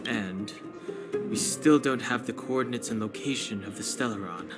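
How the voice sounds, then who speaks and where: A man voicing a thoughtful, analytical young companion in an anime-style game, measured and serious. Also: on the low side, music in the background, close to an everyday voice. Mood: pensive.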